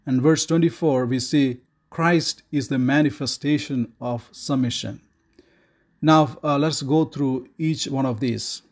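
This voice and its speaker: Middle-aged male Bible teacher, steady and measured, pauses with "uh", reads scripture plainly; close camera in a quiet room. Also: mid-range voice. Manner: measured, reads scripture aloud, frequent "uh" fillers.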